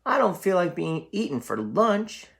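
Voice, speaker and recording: A man's voice: high voice, very animated, a little slow, gravelly, voice pushed up, exaggerated voice. A man voicing a nervous, fretful middle brother goat in a children's storybook, with anxious, pleading delivery. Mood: worried, anxious.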